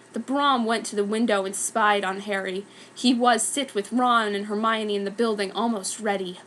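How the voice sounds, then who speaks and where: Higher-voiced woman reading dramatically, noisy recording. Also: a bit bright. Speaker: reader of a fan story. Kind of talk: dramatic reading, scripted narration.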